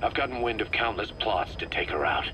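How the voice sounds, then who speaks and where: An actor playing a suspicious, hard-bitten military commander in a video game, terse, grumbling and confrontational. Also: male voice, on the low side, animated, brisk, background noise, lightly acted. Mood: resentful, uneasy. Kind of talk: dramatic game voice acting.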